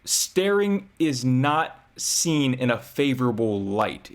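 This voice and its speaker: A man talking through his thoughts as a reaction vlogger, reflective and conversational. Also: mid-range voice. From United States. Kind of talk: casual commentary.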